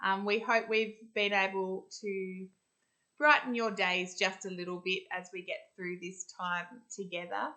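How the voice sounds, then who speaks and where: Female storyteller, Australian accent, for children's picture books: slow, expressive delivery, bright tone. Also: medium pitch, low-quality recording. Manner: expressive, deliberate storytelling with stretched words. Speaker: school storyteller.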